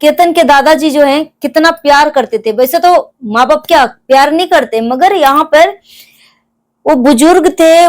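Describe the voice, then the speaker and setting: A woman giving emotional, earnest commentary to viewers, repeating phrases for emphasis. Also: high voice. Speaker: commentator on a crime case.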